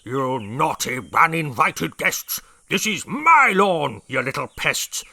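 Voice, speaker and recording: Roaring old dinosaur brigadier, gravelly, sharp-edged, drawn-out words. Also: male voice, a little high, big pitch swings, exaggerated voice. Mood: bellowing angry. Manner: bellowing, roaring. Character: blustering, hot-tempered, rule-obsessed old male dinosaur brigadier.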